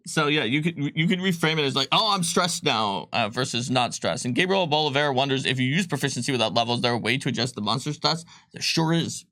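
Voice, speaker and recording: A man co-hosting a game design live stream, talking casually with many pauses and fillers. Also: mid-range voice, no room sound. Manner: relaxed, hesitant, many fillers.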